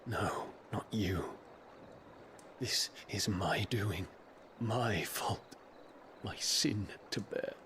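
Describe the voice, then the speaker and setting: A man acting a brooding, guilt-ridden hero in a fantasy video game, speaking in a solemn, deliberate way. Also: lowish voice, a bit bright, slight background noise, exaggerated voice. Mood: grim, remorseful.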